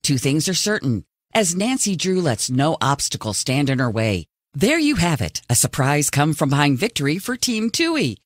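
Low-voiced, grandiose TV announcer woman. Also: very animated, normal speed, no room sound, clean studio sound. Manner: theatrical, proclamatory. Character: grandiose female reality competition show announcer. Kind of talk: dramatic TV announcer in a video game.

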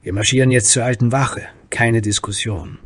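A man voicing an angry, commanding male warrior leader who growls gruffly in a fantasy story. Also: medium pitch, steady tone, moderate pace, no echo, clean studio sound, close to an everyday voice. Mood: barking.

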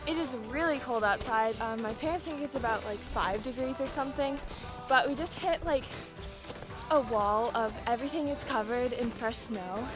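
A young woman in her twenties, a bit hoarse from losing her voice, chatting casually to a handheld camera on a snowy mountain trail. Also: on the high side, normal speed, music in the background. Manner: chatty, frequent "like" and "super". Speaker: hiking vlogger. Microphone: handheld action camera at arm's length.

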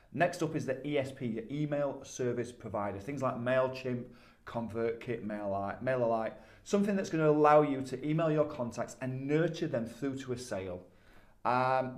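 A man's voice: medium pitch, a little mellow. An emphatic man in his thirties with a Yorkshire accent talks straight to camera in a casual, chatty way. He stresses key words and often doubles back on a word before carrying on. He is recorded in a small office at desk distance. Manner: emphatic, conversational, repeats words when restarting. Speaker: business coach. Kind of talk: casual talking-head advice.

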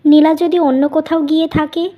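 Cartoon melodrama: gentle young daughter-in-law played by a woman, very high voice, earnest, pleading, wounded. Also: flat delivery, fairly quick, muffled recording, lightly acted. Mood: earnest, soothing. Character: gentle, grieving young elder daughter-in-law, self-sacrificing village mother. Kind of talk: Bengali animated family melodrama.

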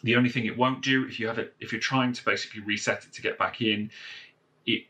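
A man's voice: mid-range voice, fairly even intonation, fast, sharp tone. A man casually walking viewers through a phone reset step by step, with lots of fillers and restarts, recorded indoors at a desk. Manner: rambling, many fillers and restarts. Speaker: phone repair tutorial presenter. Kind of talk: casual how-to walkthrough. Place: indoors at a wooden desk, filming a phone from above.

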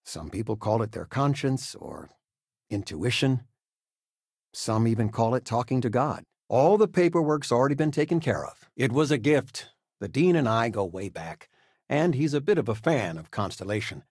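Game dad, older: husky, very animated pitch, folksy, fussing, gently humorous retired teacher. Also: male voice, moderate pace, no echo, clean studio sound. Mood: earnest, warm. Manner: hesitant, folksy, expressive. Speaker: voice actor. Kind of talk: video game character dialogue.

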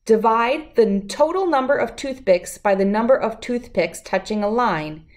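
A middle-aged woman reading a rhyming picture book aloud to children in an expressive, deliberate, lilting way. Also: fairly high pitch. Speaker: children's read-aloud host.

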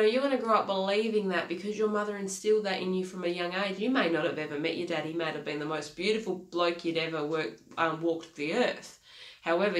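A woman in her thirties with a congested, nasal voice, giving an earnest motivational talk as a life coach. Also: lowish voice. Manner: earnest, repeats key phrases, gestures with hands. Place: small home office, indoors. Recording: echoey room.

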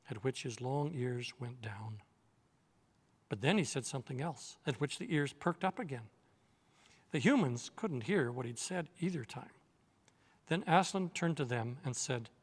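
A man in his sixties reading a children's novel aloud in a measured, steady storytelling manner, seated in an armchair on a studio set. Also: mid-range voice, husky, big-room sound. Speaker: reader.

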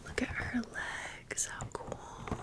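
Slow, whispering woman doing chatty ASMR. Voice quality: breathy, unvoiced whisper. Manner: slangy, gushing, repeats phrases for effect. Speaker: ASMR creator presenting a doll haul.

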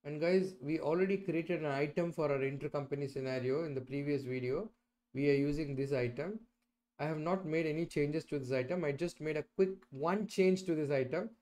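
A middle-aged man with a slightly high voice teaches a software tutorial. He explains step by step and often checks that the listener follows with short tag questions. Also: fairly quick. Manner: checks in often with "right?" and "okay". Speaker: instructor presenting a software tutorial. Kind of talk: explanatory screen-share tutorial. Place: indoors, at a computer.

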